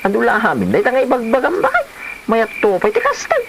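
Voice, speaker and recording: A man's voice: extremely high pitch, wildly swooping pitch, fast talker, gravelly, noisy background, exaggerated voice. A man playing a stubborn, joking, blustering husband in a comedy audio drama. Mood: dismissive. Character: stubborn, joking husband who raids grave offerings. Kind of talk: comedy radio-style drama acting.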